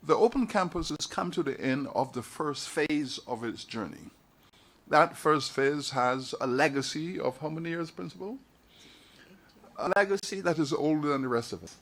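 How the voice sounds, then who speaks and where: A man's voice: on the high side, animated, a bit bright. Man from Barbados giving a formal address. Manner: measured oratory with repeated phrases. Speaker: university leader giving an address. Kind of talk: formal speech at a council meeting. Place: meeting hall.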